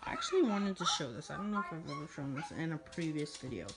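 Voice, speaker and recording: A woman's voice: sits low, wildly swooping pitch, quick pace. Young woman vlogging, noisy phone audio. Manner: informal, rambling, talks to a pet. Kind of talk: casual vlog chat. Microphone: handheld phone. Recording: noisy handheld phone recording.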